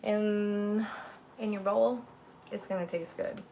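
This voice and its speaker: A woman with a gravelly, raspy voice talks through her cooking in a home kitchen, a little under the weather. She takes her time, rambling casually from one step to the next. The recording carries clear background noise from the room. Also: medium pitch, steady tone, slow, warm tone. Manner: chatty, rambling, narrates each step while cooking. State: a little sick. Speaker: cooking livestream host. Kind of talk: casual cooking livestream chat.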